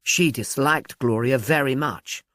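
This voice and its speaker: A man voicing a frank, brisk woman at a film studio, gossipy and matter-of-fact, in an audiobook. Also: medium pitch, a bit bright, no room sound, lightly acted. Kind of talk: audiobook character dialogue.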